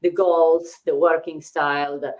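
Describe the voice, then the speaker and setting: A woman's voice: low voice, little pitch movement, measured pace, a little mellow. A woman hosting a casual business talk, encouraging and thinking aloud with frequent fillers and "right?" checks. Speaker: host.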